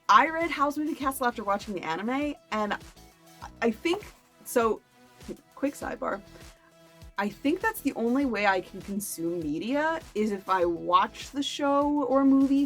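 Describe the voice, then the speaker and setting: A woman's voice: fairly high pitch, a bit bright. A woman chatting casually to camera as a book review vlogger, with playful, self-deprecating asides.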